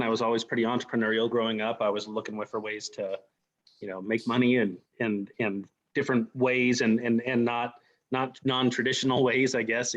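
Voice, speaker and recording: Through a dull, lo-fi call connection, a man in his forties speaks at a medium pitch. He tells his personal story as a guest, casually and a little haltingly, with a self-deprecating touch. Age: 40s. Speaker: company president, guest speaker.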